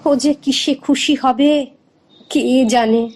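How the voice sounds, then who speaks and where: A woman's voice: sits high, steady tone, slow pace, darkish tone. An actress voicing an anxious, long-suffering old village mother in a fairy-tale cartoon, fretful and pleading. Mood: lamenting.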